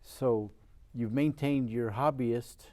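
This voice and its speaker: A man hosting a television interview, asking questions in a deliberate, encouraging way and stretching out his phrases. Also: medium pitch, steady tone, dark tone.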